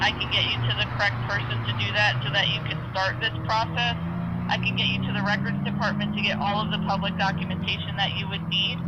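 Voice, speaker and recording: A woman working as a police dispatch supervisor, speaking firmly, politely and procedurally to a caller on the phone. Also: medium pitch. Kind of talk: formal phone conversation with a caller. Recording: muffled phone line, very loud noise.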